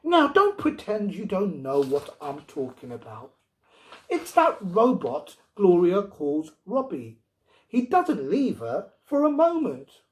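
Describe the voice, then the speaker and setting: A man's voice: high-pitched, huge pitch swings, takes it slowly, voice pushed up, exaggerated voice, low-quality recording. A man voicing an anxious, indignant wife and mother in a storybook reading, pleading and emphatic. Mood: accusing.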